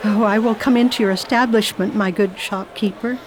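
A genteel, inquisitive English lady on her travels, voiced by a woman in a radio play. She speaks in a prim, refined way at a medium pitch, narrating her journey and questioning the locals. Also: steady tone, moderate pace, background noise, lightly acted. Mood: genteel, curious. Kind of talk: first-person narration and radio drama acting.

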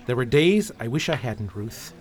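A man's voice: medium pitch, sing-song, normal speed, close to an everyday voice. A man acting as a haunted, regretful war veteran in an audio drama, subdued and guarded. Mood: weary, regretful. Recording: noisy track with chirping birds.

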